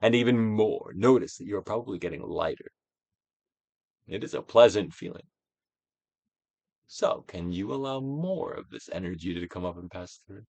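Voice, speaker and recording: A man giving a dramatic, theatrical reading of a self-help book as a guided exercise, with deliberate, swelling and falling emphasis. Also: on the low side, gravelly, no echo. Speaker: narrator, audiobook reader.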